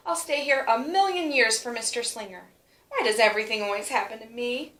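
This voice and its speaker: A woman plays a dramatic little schoolgirl from a picture book, sulky one day and sorry the next. The voice is bright and emphatic, with words drawn out, in a roomy echo. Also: fairly high pitch, fairly quick, close to an everyday voice. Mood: self-pitying. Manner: emphatic, repeats and stretches words. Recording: roomy, echoing sound.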